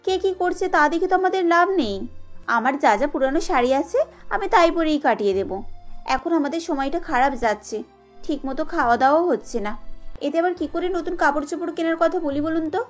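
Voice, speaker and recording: A woman voicing a humble, gentle young daughter-in-law in a cartoon story, polite and reassuring. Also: sits high, fast talker, dull audio, soft background music, natural voice.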